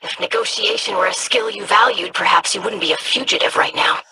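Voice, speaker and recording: A woman's voice: mid-range voice, fairly quick, heavy background noise, lightly acted. A woman voicing a proud young noblewoman in a video game, formal and cutting. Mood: sardonic, crisp.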